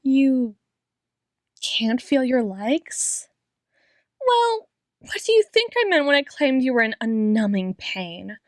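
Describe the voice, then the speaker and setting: Woman acting a high-voiced, slow, obsessive doting doctor. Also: no room sound, clean studio sound, full character voice. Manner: breathy whispers, lingering pauses, repeats phrases tenderly. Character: obsessive, doting female doctor, sweetly menacing yandere. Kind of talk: ASMR roleplay, dramatic monologue addressed to listener.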